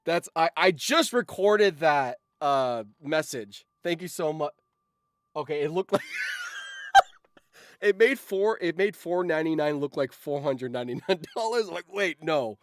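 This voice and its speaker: A man's voice: on the high side, expressive, unhurried, no echo. A young man hosting a casual livestream, talking in a rambling way that often trails off, close to the mic. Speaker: streamer and host.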